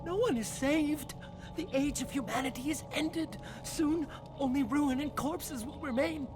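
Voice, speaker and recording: A man's voice: very high voice, measured pace. A man playing an unhinged, feverish research assistant in a video game, prophesying doom in a theatrical, portentous manner. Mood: frantic doom. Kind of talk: dramatic video game dialogue. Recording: loud game music under dialogue. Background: music.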